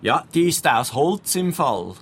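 Slow, halting Swiss police trainee. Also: male voice, a little high, big pitch swings, a bit bright, a little hiss or hum, full character voice. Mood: eager, earnest. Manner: halting, stammering, repeats words. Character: dim, eager, literal-minded male police trainee. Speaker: actor in a radio play. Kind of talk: radio comedy acting.

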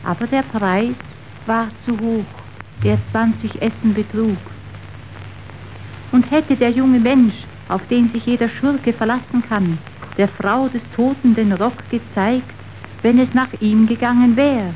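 Actress as solemn, slow-speaking righteous young woman. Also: a little high, steady tone, lightly acted. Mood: solemn, indignant. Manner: solemn, deliberate, declamatory. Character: compassionate, righteous young woman pleading for the poor. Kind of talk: declamatory radio drama in verse. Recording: dull, noisy old radio recording.

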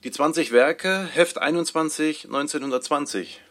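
A man's voice: on the high side, moderate pace, fairly bright tone, dry recording, close to an everyday voice. Dry-sounding man reading notebook entries, acted. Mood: matter-of-fact. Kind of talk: literary radio play, reading out notebook notes.

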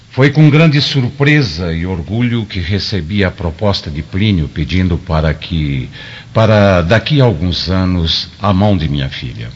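A man acting in a radio drama as a stern, proud Roman senator and father, with an authoritative, measured delivery. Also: low-pitched, heavily acted. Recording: dull lo-fi radio drama recording.

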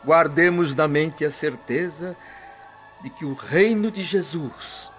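An actor playing a humble, gentle freed preacher in a radio drama, speaking in unhurried, earnest sermons. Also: male voice, fairly high pitch. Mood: preaching. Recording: dull lo-fi audio with faint music.

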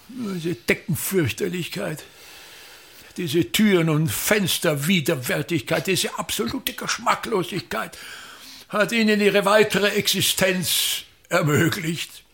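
A man's voice: sits high, creaky, fairly bright tone, slightly rough, heavily acted. An actor playing a pompous, contemptuous old male theater director in a radio drama, with an Austrian accent and a declamatory, scornful way of talking.

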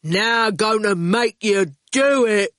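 A woman voicing a big, gruff, terse man who speaks in clipped fragments for a storybook. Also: mid-range voice, slow pace, fairly bright tone, dry recording, clean studio sound. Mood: gleeful. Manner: clipped fragments, dropping pronouns and small words.

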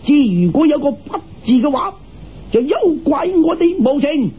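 一个男人用粤语口音扮演顽皮大胆嘅年轻道门徒弟，讲嘢冲口而出，录音发闷。. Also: male voice, extremely high pitch, big pitch swings, normal speed, voice pushed up. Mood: brash, defiant. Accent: Cantonese. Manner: cheeky, brash, challenging. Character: cheeky, bold young disciple of a Taoist master. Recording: dull, muffled radio-style recording.

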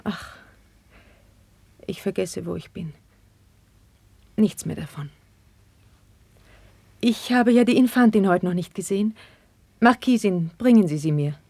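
A woman playing a gentle, dignified young queen in 1950s classical radio drama, with measured, formal stage diction. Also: mid-range voice, lively intonation, creaky, no room sound. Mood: wistful, restrained. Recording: dry 1959 radio drama recording.

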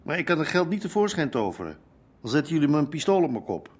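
An actor playing a gangster's evasive financial adviser in a radio drama, hesitant and measured. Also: male voice, fairly high pitch, sing-song. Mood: defensive. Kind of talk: Dutch radio drama acting.

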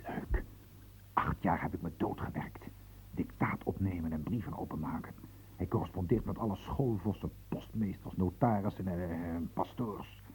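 An actor playing an embittered, overworked secretary ranting sarcastically, in a radio drama. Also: male voice, sits low, normal speed, a little mellow, slight background noise, lightly acted, poor audio. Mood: scornful.

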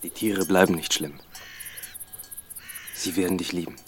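An actor playing a reserved, earnest and stubborn farmer in a radio drama, speaking in measured, terse, plain sentences. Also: male voice, low-pitched, steady tone, faint music behind. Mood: gentle, earnest. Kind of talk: scripted German radio drama acting.

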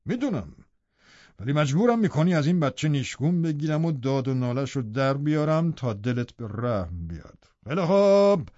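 A man's voice: a little high, very animated, dark tone, dry recording, lo-fi sound. A man acting a menacing, sardonic, domineering father. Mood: threatening, sly. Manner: commanding, sardonic, barely contained anger. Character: menacing, domineering, sneering father, falsely hospitable villain. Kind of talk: audiobook drama acting.

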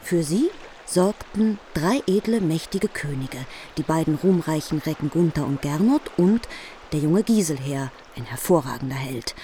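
An actress narrating a medieval heroic saga in a radio play, in composed literary prose. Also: female voice, low voice, expressive, normal speed, dark tone, a little hiss or hum. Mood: measured.

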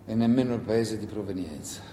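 A man's voice: a little fast, warm tone, lightly acted. A man playing a sombre partisan fighter in a stage play, plain, firm and clipped. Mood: sober, firm. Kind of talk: solo theatre monologue. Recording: echoey room with noise and rumble.

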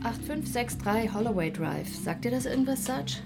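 A brisk, take-charge figure in a crime radio play. Her voice is a little high, direct and practical, with music clearly playing behind it. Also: expressive. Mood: focused. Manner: businesslike, direct. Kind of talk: radio drama acting.

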